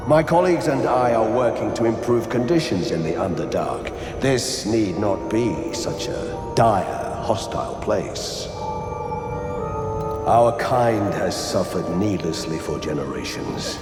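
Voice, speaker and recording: An actor playing an earnest, idealistic and curious dark elf scholar in a video game, gentle and courteous. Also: male voice, fairly low pitch, expressive, measured pace. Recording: echoey cavern reverb, music behind.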